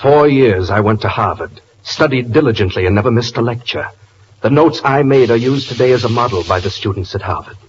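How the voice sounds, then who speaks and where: A man's voice: medium pitch, animated, fairly quick, natural voice. An actor playing a gloomy, self-pitying, educated sound man with formal, long-winded speech in a 1940s radio comedy. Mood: mournful, resigned. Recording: muffled, noisy 1940 radio recording.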